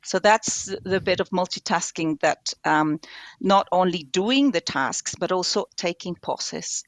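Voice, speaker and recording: A woman with a Spanish accent speaks in a calm, measured way, pausing between thoughts. Her voice sits a little low and is recorded dry and close. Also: no echo. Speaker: guest. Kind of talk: live online chat.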